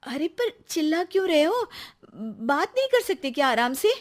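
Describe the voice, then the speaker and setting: A woman voicing an outspoken, easily aggrieved young wife in an animated story, complaining and argumentative. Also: sits high, moderate pace, pitched above the performer's own voice. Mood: defensive.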